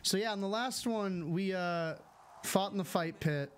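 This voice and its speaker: A man with a fairly high voice chatting his way through a live game stream at home. He reads chat messages aloud and answers them in an easygoing way, heard on a close studio mic. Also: unhurried, a little hiss or hum. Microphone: studio mic with filters.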